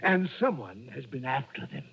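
An actor playing a pompous, irritable older scientist in a radio drama, lecturing with pedantic, condescending precision in a husky voice. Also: male voice, mid-range voice, sing-song, normal speed, fairly bright tone, full character voice. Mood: self-important. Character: pompous, irritable eccentric scientist, a learned male doctor. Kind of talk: old-time radio drama acting. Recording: dull lo-fi old radio recording.

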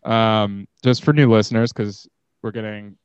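A young man co-hosting a podcast over a video call from a kitchen at home, joking and rambling with quick asides. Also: fairly low pitch, level tone, darkish tone, clear, resonant tone, no room sound. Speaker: podcast co-host. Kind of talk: casual comedic chat. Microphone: video call mic. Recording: dull, dry video call audio.

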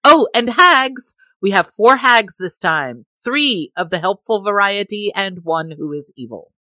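A woman's voice: medium pitch, lively intonation, a little slow, sharp tone, no room sound. A woman co-hosting a podcast in casual chat. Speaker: podcast co-host.

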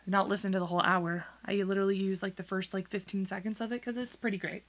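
A woman's voice: mid-range voice, steady tone, fast talker. A woman co-hosting a podcast in casual chat, giggling often and rambling between jokes. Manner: giggly, rambling, talks over her co-host. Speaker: podcast co-host.

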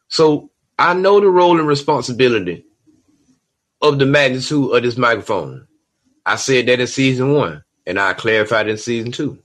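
A man hosting his own podcast, talking in an emphatic, streetwise, preachy way and tossing in "you know what I'm saying" over and over. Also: medium pitch. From Georgia, USA. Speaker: podcast host. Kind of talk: solo podcast monologue.

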